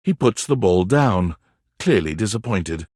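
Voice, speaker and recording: A man playing a wry, theatrical narrator of a playful dating game, telling scenes in the second person with expressive, dramatic delivery. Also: fairly low pitch, measured pace, slightly rough, no room sound, studio quality. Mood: gentle storytelling.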